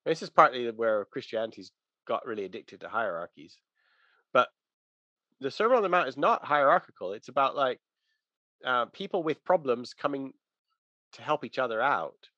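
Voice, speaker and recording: Bright, emphatic man in religious discussion. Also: mid-range voice, big pitch swings, moderate pace, pauses often, no room sound, muffled recording.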